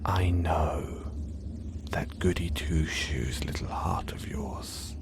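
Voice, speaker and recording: Guy doing a low slow whispery villain, obsessive and smooth, quietly threatening, audio roleplay. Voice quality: whispery, hushed delivery. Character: scheming obsessive male supervillain, calm and menacing.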